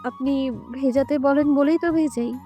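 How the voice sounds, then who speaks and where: A woman's voice: high voice, fairly even intonation, measured pace, mellow, dull audio, faint music behind. A woman voicing an indignant young wife in an audio love story, answering with pouty protests.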